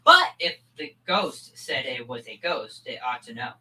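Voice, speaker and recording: A woman's voice: a bass voice, expressive, measured pace, pushed and bright, heavily acted. A young performer playing an earnest, gullible thirteen-year-old boy in a school old-time radio comedy. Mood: insistent, awed. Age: young teen.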